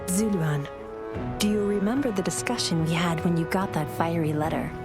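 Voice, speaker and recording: A woman's voice: fairly low pitch, normal speed, music in the background, close to an everyday voice. A woman playing a thoughtful, idealistic adviser and companion to a hero in a video game, speaking in a reflective, composed way. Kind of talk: dramatic game narration and dialogue.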